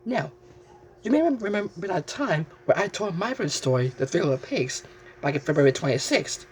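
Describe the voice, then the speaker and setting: A man hosting a story show, presenting excitedly to viewers and often halting to repeat phrases. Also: high-pitched.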